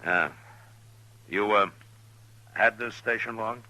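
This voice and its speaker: Deep-voiced western marshal, old radio. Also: male voice, sharp tone, heavily acted, low-quality recording. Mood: probing, casual. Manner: measured, probing, laconic. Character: steady, watchful middle-aged US marshal of the Old West. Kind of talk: old-time radio western drama, partly first-person narration. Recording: old radio recording with music.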